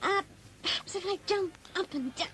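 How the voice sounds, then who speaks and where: A woman playing a ditzy squeaky secretary. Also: moderate pace, sharp tone. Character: ditzy young female secretary Cara. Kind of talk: comedy radio serial.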